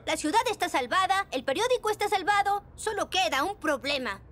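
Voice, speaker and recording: A woman's voice: very high-pitched, lively intonation, brisk, heavy background noise. A woman voicing a bossy, exclamatory young boy penguin newspaper chief in a children's cartoon. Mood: pleased. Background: children's show music.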